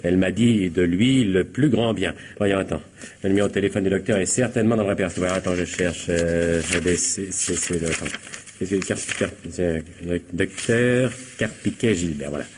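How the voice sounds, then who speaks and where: A man playing a nervous, guilty husband and son in a radio comedy-mystery, obsequious, stammering and quick to protest. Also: sits low, a little mellow, a little hiss or hum, close to an everyday voice. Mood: hurried, anxious. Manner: flustered, stammering, over-eager denials. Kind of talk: theatrical French radio comedy-mystery acting.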